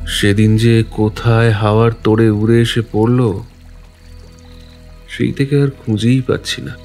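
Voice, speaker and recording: A man's voice: on the low side, little pitch movement, darkish tone, faint music behind. An actor playing a mysterious, solemn village man in an audio ghost story, with a cold, rustic way of talking. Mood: wistful, searching. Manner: slow, cold-toned, rustic turns of phrase. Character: mysterious pale village man searching at night, eerie and solemn. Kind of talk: audio horror story acting.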